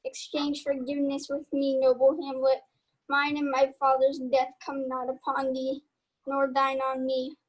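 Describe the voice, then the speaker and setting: Proud young nobleman and fencer played by a young woman, high pitch, little inflection, verse radio drama. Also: monotone, measured pace, poor audio. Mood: dying, remorseful. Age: young adult. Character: Laertes, proud young male duelist turned remorseful. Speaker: student actor. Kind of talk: Shakespearean radio play acting.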